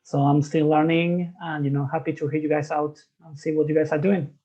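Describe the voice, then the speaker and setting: A man's voice: fairly high pitch, flat delivery, a little fast, dark tone. A man from Spain casually introducing himself on a video call. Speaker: new member. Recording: dull lo-fi call audio.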